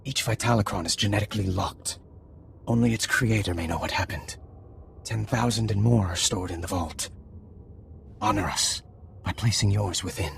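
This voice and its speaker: Alien male dignitary: heavy gravel, low, ceremonial, formal. Faint game ambience. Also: normal speed, long pauses, a little hiss or hum. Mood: formal, reverent. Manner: stilted, ceremonial. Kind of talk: video game dialogue acting.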